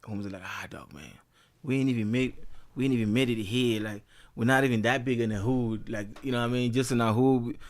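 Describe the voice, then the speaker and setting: A man's voice: mid-range voice, steady tone, fast talker. A man talking casually in an interview as a musician, laid-back, full of slang and fillers. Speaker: guest musician.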